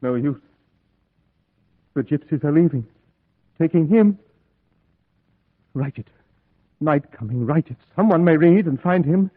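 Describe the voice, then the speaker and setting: An actor playing a desperate, haunted young Englishman held captive in an old-time radio horror play, speaking with melodramatic dread and dramatic pauses. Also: a little high, lively intonation, no room sound. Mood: despairing. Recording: muffled old radio recording, music behind.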